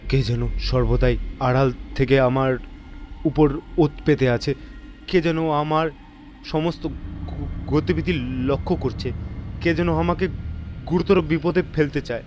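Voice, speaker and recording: Man acting a frightened, halting gentleman. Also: fairly high pitch, steady tone, a little hiss or hum, natural voice. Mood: fearful, haunted. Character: frightened, genteel businessman sensing unseen pursuers. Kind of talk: dramatised audio story acting.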